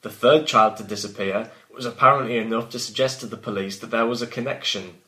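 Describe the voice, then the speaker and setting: A man's voice: mid-range voice, fast, bright, forceful tone. Man reading his own story in a roomy echo. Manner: breaks off from reading to banter. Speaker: podcast host and author reading own work. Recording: roomy echoing sound.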